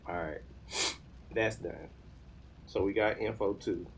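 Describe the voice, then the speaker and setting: A man's voice: on the low side, fairly even intonation, takes it slowly, a little hiss or hum. An American man in his thirties chatting casually on a live stream, informally thinking aloud as he works. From United States. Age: 30s. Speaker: live streamer learning web development.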